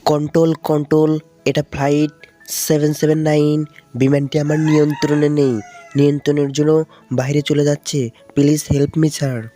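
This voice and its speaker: A man's voice: a little high, level tone, moderate pace, music in the background, natural voice. A man with a Bangladeshi accent voicing a panicking, desperate pilot who pleads urgently in a cartoon. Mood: alarmed. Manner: urgent pleading, repeating "sir". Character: panicking male pilot radioing for help, polite, desperate.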